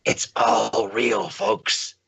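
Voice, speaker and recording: A man's voice: medium pitch, very animated, drawn-out words, gravelly, a bit bright, slightly rough. A man from the American Northwest with an American accent, co-hosting a podcast in playful, joking casual chat. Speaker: podcast co-host.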